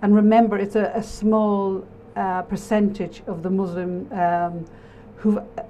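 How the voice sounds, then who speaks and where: A middle-aged woman with an Irish accent, a local authority guest on a TV studio panel, speaking in an earnest, measured way. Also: mid-range voice, flat delivery, warm tone, slight background noise. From Ireland. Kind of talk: studio discussion. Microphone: lapel mic.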